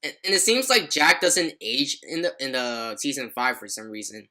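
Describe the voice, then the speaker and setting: A man's voice: medium pitch, very animated, normal speed, sharp tone. A man hosting a podcast in casual chat, rambling and leaning heavily on filler words like "like". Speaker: podcast host.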